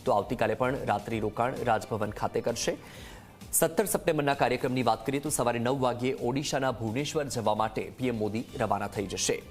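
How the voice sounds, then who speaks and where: Male news anchor, mid-pitched voice, live bulletin delivery over background music. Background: music bed under the voice.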